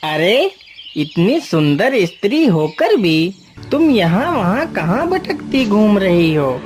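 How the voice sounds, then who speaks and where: A woman's voice: medium pitch, big pitch swings, takes it slowly, darkish tone. A woman voicing a sly, coaxing village man, the villain of a cartoon tale. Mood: feigned sympathy.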